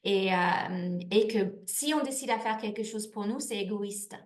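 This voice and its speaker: A woman's voice: medium pitch, a little slow. A woman in her thirties answering interview questions in a chatty, candid way, with lots of restarts and fillers. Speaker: network marketing manager, guest. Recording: echoey room.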